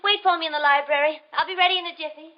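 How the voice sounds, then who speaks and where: An actress playing a breezy young woman, a hurried sweetheart in 1940s radio drama style. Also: very high-pitched, steady tone. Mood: flustered, cheerful. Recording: muffled, noisy 1940s radio broadcast.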